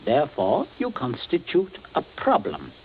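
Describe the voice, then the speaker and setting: A man's voice: mid-range voice, huge pitch swings, a little slow. An actor playing a cold, ruthless middle-aged father in a radio drama, speaking in a precise, menacing way. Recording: tinny old radio drama recording, faint hiss.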